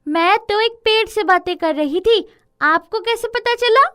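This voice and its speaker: A woman's voice: very high voice, measured pace, fairly bright tone, pitched above the performer's own voice. A woman voicing an innocent, eager little village girl in a storybook tale, earnest and inquisitive. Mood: brave.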